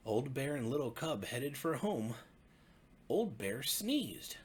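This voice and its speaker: A man, a pastor, narrating a bedtime story for children in a gentle, expressive way with deliberate phrasing. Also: mid-range voice, husky.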